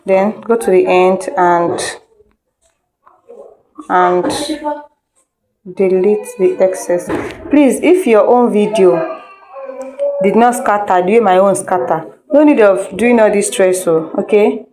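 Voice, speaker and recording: A woman's voice: mid-range voice, takes it slowly, pauses often, crowd noise, low-quality recording. A woman instructor giving an informal step-by-step tutorial, repeating instructions and checking in with listeners. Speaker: video editing instructor.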